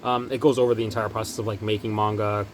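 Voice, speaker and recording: A man's voice: fairly low pitch, little pitch movement, fast, noisy background. A young man hosting a casual manga haul video, talking loosely with lots of fillers and restarts. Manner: rambling, lots of ums and likes. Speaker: manga collecting vlogger. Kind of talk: casual talk to camera.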